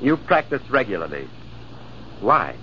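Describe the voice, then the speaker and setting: An actor playing a smug, cool-headed young lieutenant in a 1940s radio drama, speaking in a calm, cutting, deliberate way. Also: male voice, medium pitch. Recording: muffled old radio broadcast with faint hiss.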